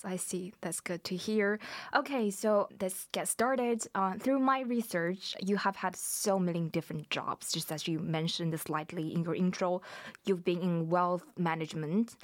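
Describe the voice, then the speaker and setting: A woman's voice: fairly low pitch, dry recording. A woman hosting a podcast interview, reading a scripted introduction and asking plain questions. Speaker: podcast host.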